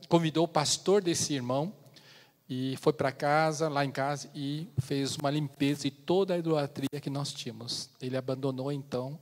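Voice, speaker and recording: A man in his fifties from China, giving an earnest personal testimony as a missionary speaker in a church, with pauses and restarts. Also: mid-range voice. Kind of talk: testimony sermon. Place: church sanctuary. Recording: long church hall reverb.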